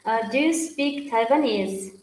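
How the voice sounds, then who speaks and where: A woman's voice: a little high, expressive, a little slow, a little mellow. Woman moderating questions in a roomy space. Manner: polite, non-native phrasing. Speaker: host, moderator.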